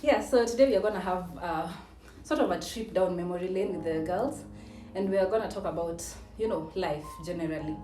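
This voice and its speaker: A woman's voice: on the low side, brisk. A woman, chatting casually and leading a discussion in a house. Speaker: content creator posing questions. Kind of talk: casual chat. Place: indoors in a house. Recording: roomy echo, distant mic, faint music. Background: faint music.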